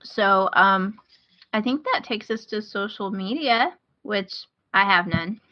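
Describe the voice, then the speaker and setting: A woman chats casually as a podcast co-host. Her pitch is average for a woman and her humour is dry and self-deprecating. The sound is slightly muffled. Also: lively intonation, slow. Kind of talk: casual chat. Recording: slightly dull podcast audio.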